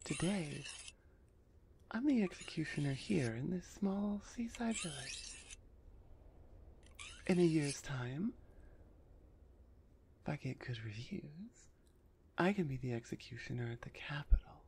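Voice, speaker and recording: A woman playing a big, haughty minotaur executioner in an ASMR roleplay audio drama, stern and dismissive, with a husky, deliberate delivery. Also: sits low, expressive, a little mellow, a little hiss or hum. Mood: menacing, smug.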